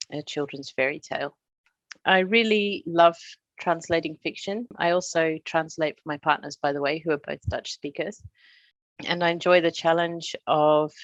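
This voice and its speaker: A middle-aged woman from Australia, a translator, giving a prepared presentation in a measured, explanatory way with touches of humor. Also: low-pitched, dry recording, rough recording.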